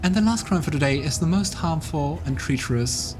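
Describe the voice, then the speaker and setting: A man's voice: a little high, animated, fairly quick, pitched above the performer's own voice, natural voice. A man voicing a cruel, theatrical demon judge in a video game reading, with a declamatory, contemptuous delivery. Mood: announcing. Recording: background game music.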